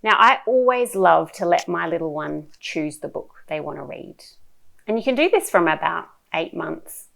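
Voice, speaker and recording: A woman presents advice to parents in a low, unhurried voice. Her delivery is explanatory and lively in pitch, with some creak at the edges. She puts emphasis on key points. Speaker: presenter giving parents reading tips. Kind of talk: instructional talk to camera.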